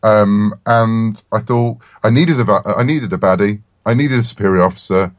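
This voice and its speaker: Man, lower-pitched voice, dry close sound, casual interview, halting anecdotes, self-deprecating writer. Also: no echo. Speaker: writer of comics and books, interview guest.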